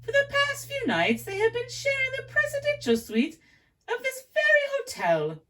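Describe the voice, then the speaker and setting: A woman's voice: very high voice, huge pitch swings, moderate pace, fairly bright tone, recorded from a distance, pitched above the performer's own voice, full character voice. A woman voicing a gossipy, genteel English music promoter in a put-on English accent that she calls poor, read aloud from a novel. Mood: scandalized. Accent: put-on English accent, self-described as poor. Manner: genteel, gossipy, a bit pompous.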